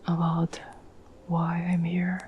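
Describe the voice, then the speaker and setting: A woman acts out a caring, gentle girlfriend in an intimate ASMR audio roleplay. Her voice is a breathy, whispery murmur, slightly low and very even in pitch. She takes her time, pausing thoughtfully, over faint background noise. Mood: tender, earnest. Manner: gentle, unhurried, halting with thoughtful pauses. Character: caring, tender girlfriend reassuring her troubled partner.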